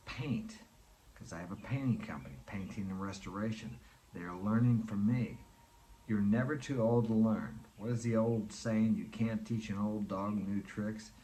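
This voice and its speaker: A man's voice: on the low side, a little mellow, slight background noise, low-quality recording. A man in his sixties giving an earnest, preachy motivational talk, repeating his points for emphasis. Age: 60s. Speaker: motivational speaker. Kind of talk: motivational monologue.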